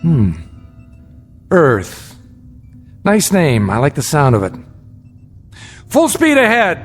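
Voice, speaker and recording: A determined family man in a science fiction radio drama. His voice sits high for a man and moves expressively, over clearly audible music. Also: wildly swooping pitch, slow, heavily acted. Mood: relieved wonder. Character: determined family man escaping a doomed planet.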